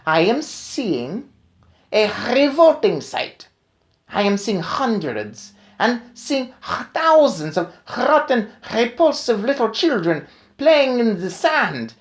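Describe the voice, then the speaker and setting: A woman voicing the furious Grand High Witch, an old witch queen who yells and shrieks her words in drawn-out bursts.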